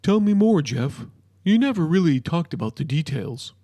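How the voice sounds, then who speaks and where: A man voicing a big, friendly amphibious creature from a storybook, with a low, cartoonish voice and a patient, deliberate, mildly chiding manner. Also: big pitch swings, dark tone, no room sound. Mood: curious. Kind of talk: storybook character voice.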